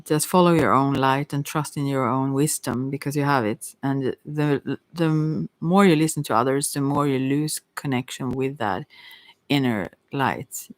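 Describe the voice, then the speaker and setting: Softly spoken tarot reader, very dry close sound. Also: mid-range voice, unhurried, no echo. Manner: hesitant, rambling, thinking aloud. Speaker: tarot reader hosting a live reading. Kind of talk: casual intuitive tarot reading, answering listeners' questions.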